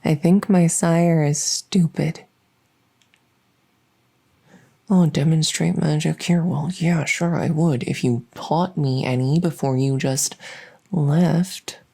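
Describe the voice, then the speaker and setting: A woman playing an awkward, earnest fledgling vampire in an ASMR audio roleplay, halting and stammering as she tries to win the listener over. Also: sits low, fairly even intonation, gravelly, warm tone, breathy edge, exaggerated voice. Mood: upset, exasperated.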